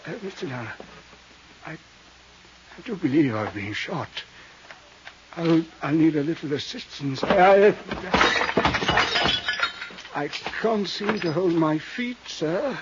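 Florid old gentleman villain, muffled radio sound. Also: a little high, animated, slow pace, long pauses, background noise. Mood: weakened, pained. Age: older. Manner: florid, theatrical, courtly phrasing with Latin flourishes. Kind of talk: 1950s radio drama acting. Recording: muffled 1950s radio recording.

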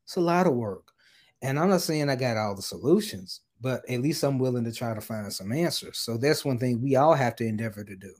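An earnest man speaking as a guest on a casual podcast, slowing down to stress his points. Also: mid-range voice, lively intonation, darkish tone.

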